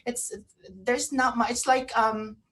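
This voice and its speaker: A woman co-hosting a radio show in casual chat, halting and often repeating herself. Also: a little high, steady tone, a bit bright.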